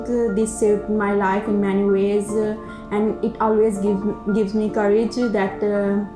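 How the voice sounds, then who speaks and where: Pakistani woman, reflective, roomy sound. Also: medium pitch. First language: Urdu. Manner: reflective, unhurried, with pauses and fillers. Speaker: PhD researcher. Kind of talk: personal documentary narration. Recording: roomy with music underneath.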